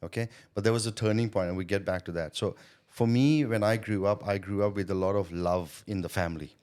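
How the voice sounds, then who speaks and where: A man's voice: lowish voice, brisk, darkish tone, clear, resonant tone. A middle-aged man from Thailand, a podcast guest, telling his story in a reflective, chatty way, often checking in with "you know" and "right?". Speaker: podcast guest, sales consultant. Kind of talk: casual interview storytelling.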